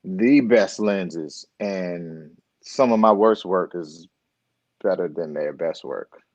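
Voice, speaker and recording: A man chatting as a guest on a live stream, laid-back and animated, laughing easily. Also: low voice, takes it slowly, no room sound, lo-fi sound. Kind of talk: casual interview chat.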